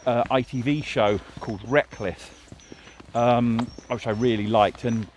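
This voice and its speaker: A man's voice: mid-range voice, steady tone, a little slow. A man, a television drama writer, talking in a casual, thoughtful way with many fillers and restarts. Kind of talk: casual conversation. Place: outdoors by a road. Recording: faint street noise with passing traffic.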